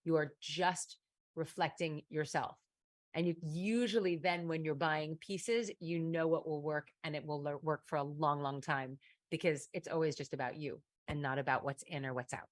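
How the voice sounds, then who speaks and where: A middle-aged woman chatting on her livestream, emphatic and loose, full of little asides. Her voice sits a touch low for a woman. The sound is close and dry, with no room around it. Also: fairly even intonation, normal speed, no echo. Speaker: livestream advice show host. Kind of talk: casual livestream chat reading viewer comments.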